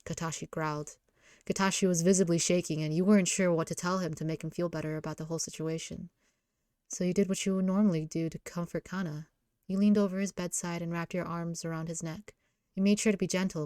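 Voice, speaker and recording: Low-voiced woman reading a story playfully. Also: dark tone, dry recording, studio quality. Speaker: co-host and reader of visual novel. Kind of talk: story read-aloud with casual chat.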